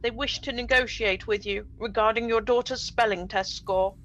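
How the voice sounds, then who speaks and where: A woman's voice: on the high side, steady tone, normal speed, a bit bright, lo-fi sound, slight background noise, natural voice. A woman playing an earnest, dutiful villain's minion in a comedic radio play, formal and urgent. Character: earnest, dutiful villain's henchwoman reporting to master.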